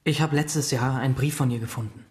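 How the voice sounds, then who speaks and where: A young actor playing a flippant, stubborn teenage brother in trouble in a radio drama. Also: male voice, mid-range voice, fairly even intonation, brisk, lightly acted. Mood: casual, evasive.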